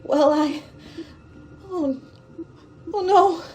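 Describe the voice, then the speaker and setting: A young woman playing a trusting, childlike stowaway in a radio drama, with a naive, halting, pleading manner. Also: very high-pitched, darkish tone, soft background music, exaggerated voice. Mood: frightened, pleading. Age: young adult.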